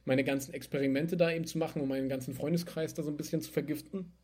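A man's voice: a little high, very fast. Chatty young man telling his story. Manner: lively and chatty, laughs while telling stories. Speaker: guest, spirits entrepreneur. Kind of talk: casual interview storytelling.

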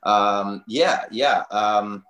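A man's voice: lowish voice, drawn-out words, a bit bright. A middle-aged man telling stories casually as an interview guest, rambling and often saying "you know", in a roomy, echoing recording. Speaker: restaurateur podcast guest.

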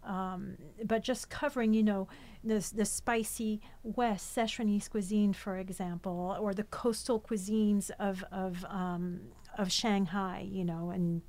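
A woman's voice: medium pitch, steady tone, a little slow, a little mellow. A woman born in France, a cookbook author, chatting as an interview guest, talking with many fillers and restarts. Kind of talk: casual interview chat.

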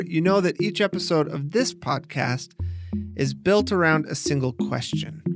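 A man hosting a podcast, speaking as a narrator and conversational interviewer. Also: fairly high pitch.

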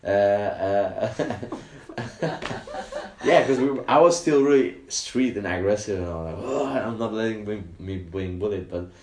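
A man with a fairly low voice tells stories in a loose, animated way, laughing often and restarting his sentences. He grew up in the Netherlands. The room around him rings with echo. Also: a little slow, background noise. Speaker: interview guest. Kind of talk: casual storytelling.

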